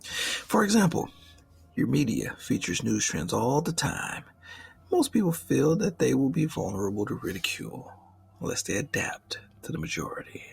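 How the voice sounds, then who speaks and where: Casting: male actor as sly, condescending age-old demon for ASMR roleplay; very creaky, breathy, expressive delivery. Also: medium pitch, exaggerated voice. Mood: philosophical, lecturing. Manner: drawn-out, theatrical, formal wording, half-whispered phrases.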